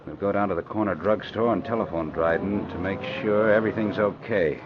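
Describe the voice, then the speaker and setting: A man's voice: low-pitched, a little fast, lightly acted. An actor playing a wary, bossy male racketeer in a 1940s radio drama, speaking in short, clipped orders. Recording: dull hissy old radio recording.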